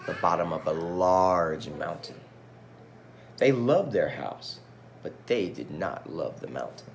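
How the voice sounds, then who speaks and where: Animated male teacher reading aloud. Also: low-pitched, takes it slowly, a little hiss or hum. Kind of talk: animated picture-book read-aloud with asides to children.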